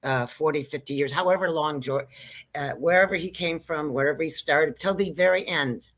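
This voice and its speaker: A woman's voice: deep voice, normal speed. An older woman born in Israel whose first language was Hebrew, telling her story in a rambling, self-interrupting, joking way as a guest comedian. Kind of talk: casual interview chat.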